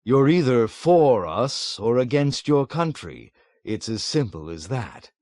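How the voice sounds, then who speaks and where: A man voicing a sly, unruffled male colonel who speaks with slow, dry irony. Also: medium pitch, lively intonation, dry recording, close to an everyday voice. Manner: drawling, ironic, dryly mocking.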